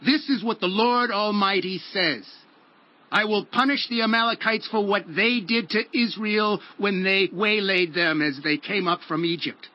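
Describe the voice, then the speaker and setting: An actor playing a stern prophet in a dramatized audio Bible, proclaiming a divine command with solemn force. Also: male voice, high-pitched, measured pace, muffled recording. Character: stern prophet delivering God's harsh command.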